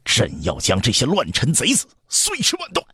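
Commanding emperor played by a man: low, rough-edged, curt, scolding, historical audiobook. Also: animated, normal speed, no room sound, clean studio sound, full character voice. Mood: furious. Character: stern, imperious adult Han emperor, quick to anger.

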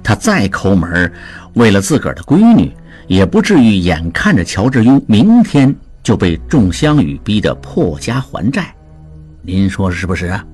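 A man voicing a calm, scheming merchant in an audiobook, speaking in a smooth, slyly jovial, unhurried way. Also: sits low, soft background music, exaggerated voice. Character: sly, scheming male merchant, calm and calculating. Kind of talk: audiobook character voice.

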